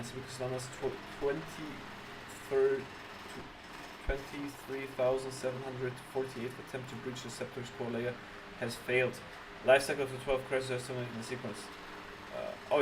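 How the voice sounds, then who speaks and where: Distant, noisy-room man doing casual game commentary. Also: mid-range voice. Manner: informal, slangy, often swearing. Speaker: game reaction streamer. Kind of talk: casual reaction commentary, reading game text aloud.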